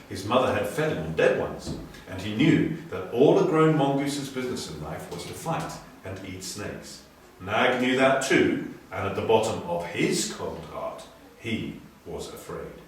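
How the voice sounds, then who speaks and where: A man reading a story dramatically, moving between hushed and emphatic delivery. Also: fairly low pitch. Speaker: story reader. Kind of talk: expressive storybook narration. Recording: very echoey room, distant, faint noise.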